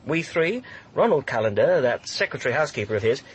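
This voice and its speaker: A man's voice: mid-range voice, sing-song, fast talker, a little hiss or hum, close to an everyday voice, poor audio. A man with a British accent playing a flippant, supercilious young student in a radio drama, arch and witty. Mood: wry. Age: young adult.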